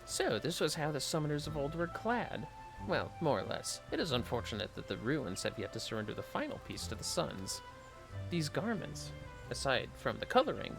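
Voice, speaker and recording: A man's voice: medium pitch, gravelly, rough recording. A man voicing a scholarly, courteous researcher from a fantasy game, with ornate, formal speech. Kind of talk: reading game dialogue in character. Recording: music behind the voice.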